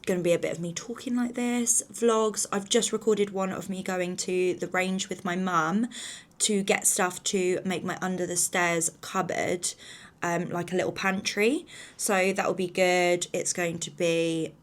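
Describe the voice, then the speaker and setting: A woman's voice: lowish voice, fairly even intonation, measured pace. A woman in her thirties from England, chatting casually to camera in a rambling, slightly nervous way with lots of fillers. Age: 30s. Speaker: vlogger.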